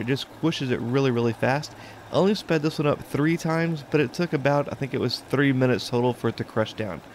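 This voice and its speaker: A man narrating an HVAC lesson in a plain, step-by-step way, pausing now and then with an "uh". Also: medium pitch, slight background noise. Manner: plain, step-by-step explaining with occasional "uh". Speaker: HVAC instructor. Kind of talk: explanatory voice-over narration.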